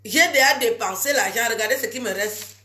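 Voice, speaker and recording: A woman's voice: on the high side, fairly quick, bright, forceful tone, echoey room. A woman vlogging casually to her fans, chatty and teasing, scolding her viewers with a cold. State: has a cold.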